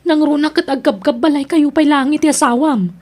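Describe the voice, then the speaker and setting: Actress as high-voiced scolding Ilocano friend. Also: female voice, normal speed, a little mellow, lightly acted. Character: disapproving female friend, scolding and moralizing. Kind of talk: Ilocano radio drama acting.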